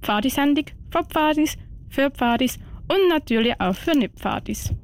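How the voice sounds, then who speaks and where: A woman's voice: sits high, lively intonation, moderate pace. A woman with a Swiss German accent presenting a youth radio show from a studio in a scripted style.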